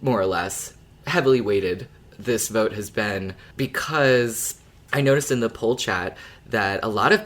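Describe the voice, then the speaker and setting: A podcast co-host chatting casually and analytically about a story. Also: medium pitch. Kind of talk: casual fan discussion of an audio drama.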